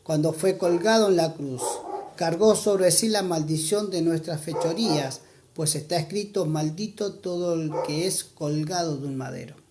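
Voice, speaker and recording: A preacher with an Argentine accent, giving an earnest church sermon and reading scripture aloud, slowing down to stress key points. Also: medium pitch, background noise. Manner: earnest, exhorting, slows down for emphasis.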